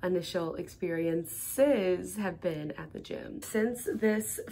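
A young woman talks into her phone camera up close, soft and hesitant and full of fillers. Her voice sits fairly low and she speaks slowly. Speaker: vlogger. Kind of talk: casual vlog chat. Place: large indoor gym, then a small room at home.

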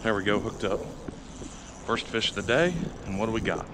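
A man with a fairly low voice narrates his fishing outdoors to a camera, casual and chatty. He bursts into loud, excited cheers whenever a fish bites. The outdoor recording is windy, with clear background noise. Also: moderate pace. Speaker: fishing vlogger. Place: outdoors by urban water, windy.

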